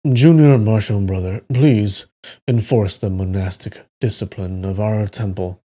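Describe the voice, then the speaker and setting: A man voicing a solemn, dignified Buddhist abbot in a storybook tale, speaking calmly and formally. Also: fairly low pitch, measured pace. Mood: calm, resolute. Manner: plain, calm, formal delivery. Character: solemn, dignified male Buddhist temple abbot, resolute and humble. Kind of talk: storybook character reading.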